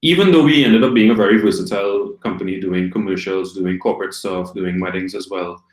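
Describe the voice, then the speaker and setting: A man from Trinidad and Tobago in his thirties, a guest telling his story in a relaxed, rambling way with many ums. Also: low voice, fairly quick. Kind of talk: casual live-stream interview. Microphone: video call. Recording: echoey room on a video call.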